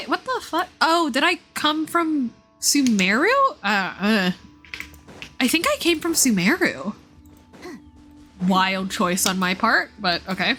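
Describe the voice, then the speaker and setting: A woman game streamer with a bright, fairly high voice chats casually as she reacts to a story. She is playful and sarcastic, swears freely, and talks at an unhurried pace. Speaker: game livestreamer.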